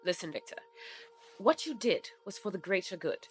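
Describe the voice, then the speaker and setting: An actress playing a gentle, apologetic woman who consoles and reasons in a measured way in an audio drama. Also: mid-range voice, big pitch swings, faint music behind, natural voice. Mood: reassuring. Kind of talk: fiction podcast drama acting.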